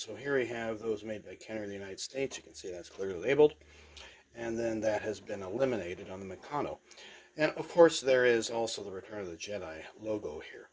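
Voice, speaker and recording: Congested man with a lowish voice. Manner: rambling, with many fillers and restarts. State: congested, stuffy. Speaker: toy collector presenting. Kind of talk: casual show-and-tell.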